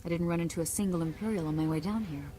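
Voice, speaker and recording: A woman's voice: on the low side, very fast, mellow, faint music behind, close to an everyday voice. Actress as commanding, pragmatic lady diplomat. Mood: urgent. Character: urgent, coldly pragmatic female diplomat. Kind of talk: video game voice acting.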